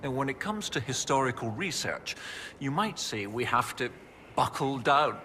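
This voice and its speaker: An actor playing an enthusiastic research professor in a video game, explaining things in a pedantic, eager way. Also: male voice, mid-range voice, big pitch swings, normal speed, bright, forceful tone, a little hiss or hum. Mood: eager, amused. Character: enthusiastic, bookish male research professor and archaeologist. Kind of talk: video game dialogue acting.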